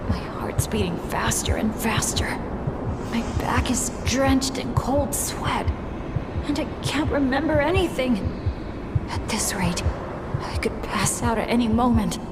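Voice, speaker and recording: A woman voicing an earnest, plucky teenage boy adventurer in a game, halting and breathy, with music behind. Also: on the high side, lively intonation, heavy background noise. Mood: strained, determined. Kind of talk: English game voice acting, dramatic monologue.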